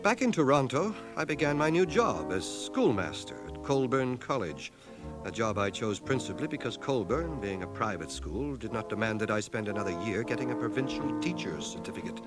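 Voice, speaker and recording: A man's voice: mid-range voice, sing-song, fairly quick, over loud music, natural voice. An actor playing a learned schoolmaster and war veteran, narrating his life in a radio drama with dry, literate irony. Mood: wry recollection. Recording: 1980 radio drama cassette transfer.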